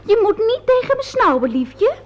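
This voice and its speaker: High, swooping temperamental young actress. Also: female voice, very animated, moderate pace. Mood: coaxing. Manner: theatrical, teasing, swinging from coy to indignant. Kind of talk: radio play acting. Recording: dull lo-fi old radio recording.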